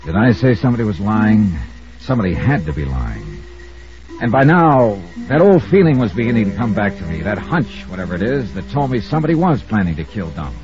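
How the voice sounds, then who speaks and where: An actor playing a brisk, wry American investigator in a 1950s radio detective drama. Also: male voice, fairly low pitch, very animated, music in the background. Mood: tense, urgent. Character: brisk, wry, hard-boiled male insurance investigator. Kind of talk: 1950s radio drama acting and first-person narration. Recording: dull old radio recording.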